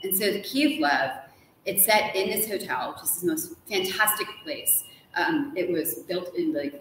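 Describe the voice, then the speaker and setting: Enthusiastic woman author chatting in a live interview, lowish voice, roomy sound w faint noise. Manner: chatty, enthusiastic, playfully dramatic. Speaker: guest author. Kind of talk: casual animated storytelling in a live interview. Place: large ornate hotel room, indoors. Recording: echoey room with faint background noise.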